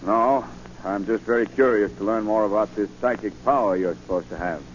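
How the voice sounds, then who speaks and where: An actor playing a blustering, skeptical police commissioner in 1940s radio acting style. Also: male voice, fairly low pitch, fairly quick, lightly acted. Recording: muffled, faintly noisy 1940 radio recording.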